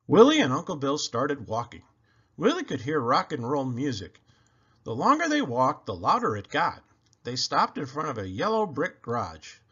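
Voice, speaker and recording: A man reading a children's book aloud with expressive, emphatic delivery. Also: medium pitch, moderate pace, slightly rough, highs cut off. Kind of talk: animated storybook read-aloud narration.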